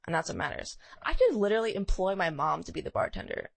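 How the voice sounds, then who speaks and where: A woman's voice: fairly low pitch, big pitch swings, fast talker, creaky. A woman co-hosting a podcast, chatting casually and telling stories informally, with dramatic delivery of quoted lines. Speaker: podcast co-host.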